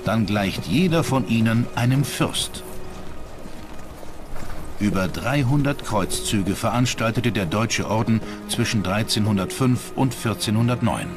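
A man's voice: on the low side, animated, measured pace. A man narrating a scripted history documentary as its narrator. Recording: music under the narration.

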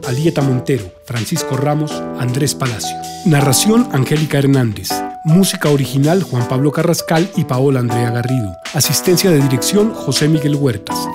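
A man with a Colombian accent reading scripted production credits as an announcer. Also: mid-range voice. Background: guitar music.

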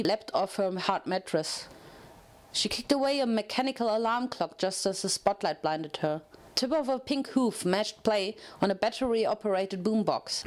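A woman reading fiction aloud as a narrator, in an expressive, dramatic storytelling manner. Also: medium pitch.

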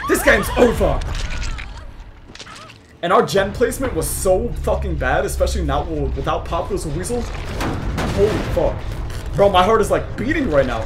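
A hoarse young man giving loud, profane commentary over his own gameplay, muttering one moment and yelling the next. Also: sits high. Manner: swings from muttering to yelling, swears a lot. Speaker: gaming YouTuber. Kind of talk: excited live gameplay commentary.

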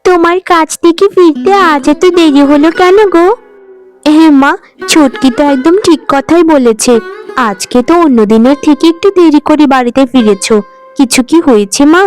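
A woman's voice: high-pitched, normal speed, soft background music, lightly acted. A woman playing a poor maid's young daughter in a cartoon, girlish and earnest in manner. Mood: caring. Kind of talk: animated Bengali folk-tale cartoon acting.

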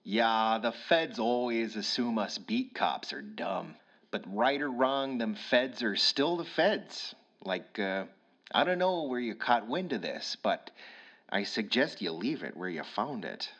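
A man playing a gossipy, blunt male police sergeant with a thick Minnesotan accent, talking low and folksy on the phone. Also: big pitch swings, measured pace, lo-fi sound, full character voice. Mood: wry. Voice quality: hushed, folksy, drawling. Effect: heard over the phone.

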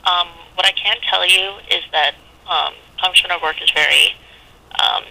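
A woman working as a congressional office staffer, answering a phone politely in a halting, formal manner. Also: on the low side, fairly even intonation, vocal fry, sharp tone. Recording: telephone line audio.

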